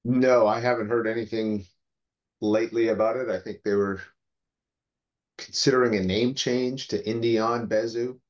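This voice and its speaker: A man giving an informal technical update on a video call in a measured, halting way. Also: on the low side, fairly even intonation. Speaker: meeting participant giving updates. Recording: dull video call audio.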